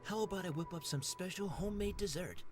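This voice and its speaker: Woman doing a gentle old grandpa. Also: fairly low pitch, fast talker, over loud music, lightly acted. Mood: jolly. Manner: soft reassuring storytelling delivery. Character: kind gentle elderly grandfather, warm and wise.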